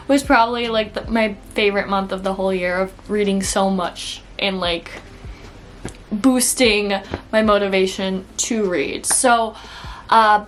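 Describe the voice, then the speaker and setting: A woman's voice: mid-range voice, fairly bright tone, slight background noise. A woman talking in an informal, conversational way, like a book vlogger chatting casually to viewers. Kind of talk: casual chat to camera, book review.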